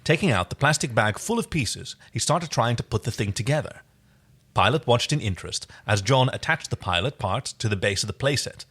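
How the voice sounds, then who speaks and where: Animated man reading a story aloud. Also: medium pitch, brisk, breathy edge. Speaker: podcast co-host reading fan fiction aloud. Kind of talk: story reading with casual chat.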